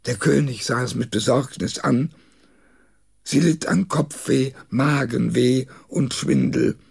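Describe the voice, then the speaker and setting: A man reading a rhymed comic poem for children aloud, expressively and in a deliberate storytelling manner. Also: mid-range voice, gravelly, slightly rough. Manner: measured, deliberate storytelling delivery.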